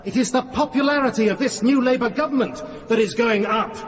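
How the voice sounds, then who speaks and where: Mocking male Prime Minister, high-voiced, sarcastic radio drama oratory in a long-reverb hall. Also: fairly quick, very noisy. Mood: mocking, smug. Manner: sarcastic, theatrical. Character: smug, mocking male Prime Minister scoring debating points. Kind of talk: radio drama parliamentary speech. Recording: reverberant hall, dull sound, loud crowd.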